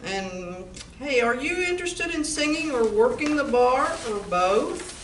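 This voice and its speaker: A woman as a slow-talking, wry bar owner. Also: high voice, animated, fairly bright tone. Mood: welcoming, wry. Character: wry, practical married woman who owns a bar. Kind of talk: amateur stage comedy radio play acting. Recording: echoey room from far off, background noise.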